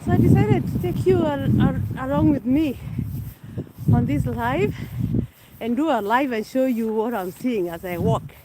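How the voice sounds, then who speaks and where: Slow-talking Kenyan-born woman on a winter walk. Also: high voice, lively intonation, heavy background noise. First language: Kikuyu. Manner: unhurried, chatty, frequent restarts. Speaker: livestream host. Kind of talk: casual walking livestream. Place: outdoors on a winter street.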